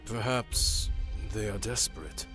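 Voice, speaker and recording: A man's voice: lowish voice, unhurried, a bit bright, soft background music. A man playing an earnest, deferential alien aide in a science fiction audio drama, polite and formal in his speech.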